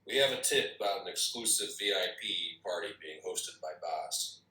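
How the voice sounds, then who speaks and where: An actor reads a businesslike male mission handler giving a briefing. His voice is a bit low but forceful and bright, slightly distant in an echoey room during a table read. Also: expressive, normal speed.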